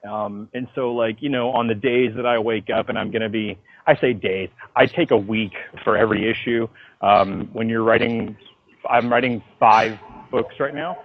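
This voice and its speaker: A man's voice: medium pitch, moderate pace, phone-quality sound. A man chatting casually in an interview as a writer, telling stories in a slangy way full of swearing. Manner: animated, profane, slangy storytelling. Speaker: comic book writer being interviewed. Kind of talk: casual interview chat about own work. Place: phone call interview. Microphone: phone call.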